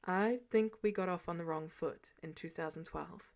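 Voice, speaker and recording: A woman voicing an earnest, weary male hero and team leader in a measured, subdued, sincere way for an audio story. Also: on the low side, pitched below the performer's own voice, lightly acted. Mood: earnest, wistful.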